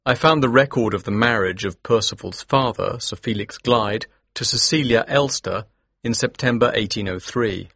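An actor playing an earnest, determined gentleman who tells a Victorian mystery in the first person, with a husky voice. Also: medium pitch, normal speed, no room sound. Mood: measured narration. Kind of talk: scripted first-person audiobook narration.

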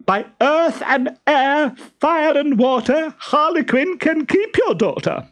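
Squealing, vain cowardly suitor. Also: male voice, very high-pitched, huge pitch swings, takes it slowly, voice pushed up, exaggerated voice. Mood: shrieking panic. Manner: pompous, squealing, theatrical. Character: vain, self-adoring muffled suitor, shrieking in panic.

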